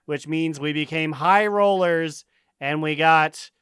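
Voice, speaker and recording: A man's voice: a little high, slow, a bit bright, clear, resonant tone, dry recording, clean studio sound. A man hosting a podcast in casual chat, with a jokey, sweary manner. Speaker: podcast host.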